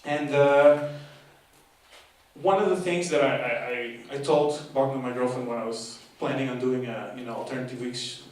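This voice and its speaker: A man's voice: mid-range voice, fairly even intonation, moderate pace. A young man doing a chatty, playful stand-up set, joking with the audience and mocking himself. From half Portuguese, half Argentinian. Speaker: stand-up comedian and course leader. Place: live comedy show in a hall. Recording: echoey hall from far off, noisy. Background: audience laughter.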